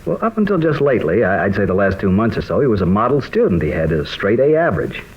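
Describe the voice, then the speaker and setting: A man playing an earnest, troubled American high school teacher in a mid-century radio drama, explaining things hesitantly. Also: big pitch swings, fast talker. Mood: troubled, dismayed. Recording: dull, lightly noisy old radio recording.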